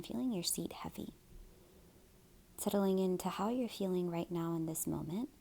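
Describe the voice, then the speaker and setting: A woman teaching gentle yoga and guided meditation in a soft, soothing, breathy way. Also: medium pitch.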